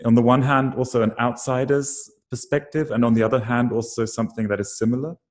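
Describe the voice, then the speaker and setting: A man's voice: medium pitch, fairly even intonation, a little fast, darkish tone. A man moderating an online film talk, asking long, hesitant questions full of fillers. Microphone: computer mic on a video call.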